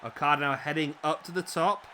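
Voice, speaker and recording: A man with a slightly raspy voice, commentating a wrestling video game play-by-play, excitable at big moves and chatty in asides. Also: on the high side, fairly quick, background noise.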